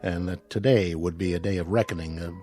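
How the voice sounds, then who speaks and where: Man playing a low-voiced, businesslike federal agent. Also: fairly even intonation, dark tone, faint music behind, close to an everyday voice. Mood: formal, businesslike. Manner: formal, measured, questioning. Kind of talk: radio drama acting.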